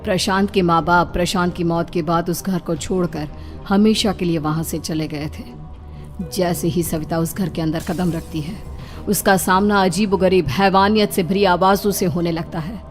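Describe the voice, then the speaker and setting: A woman's voice: fairly low pitch, fairly even intonation, moderate pace, faint music behind. A woman narrator reading a scripted, dramatic story.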